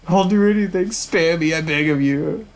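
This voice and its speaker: A man voicing a cowardly bishop in a panic, stammering and pleading for his life in a shrill voice. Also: measured pace. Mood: terrified pleading.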